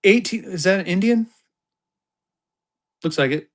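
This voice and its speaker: High-voiced man hyping auction bids. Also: lively intonation, measured pace. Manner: hypes bids in bursts, talks about himself in third person. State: tired. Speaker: live auction host selling banknotes. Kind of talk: live-stream auction patter.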